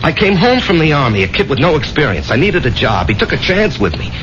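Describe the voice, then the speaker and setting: An actor playing a weary, anxious husband and army veteran in a 1970s radio drama, earnest and halting in his speech. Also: on the high side, very animated. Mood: weary, apologetic. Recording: dull lo-fi old radio broadcast, music behind.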